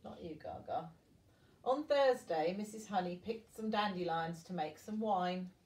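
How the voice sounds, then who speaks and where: Older woman, storybook reading for children, expressive, grandmotherly asides, roomy echo, distant from mic. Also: lowish voice, unhurried.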